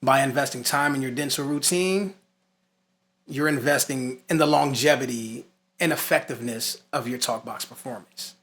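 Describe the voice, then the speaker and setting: A man with a bright, crisp-edged voice at a middle pitch teaches like a music instructor. He works through prepared points in a conversational way, dropping in casual asides and leaning on key phrases for emphasis. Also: moderate pace.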